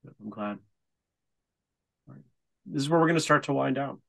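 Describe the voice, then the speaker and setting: Gravelly-voiced middle-aged male host. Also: medium pitch, normal speed. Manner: relaxed, brief affirmations. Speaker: podcast host. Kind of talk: casual interview. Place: home room. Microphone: video call.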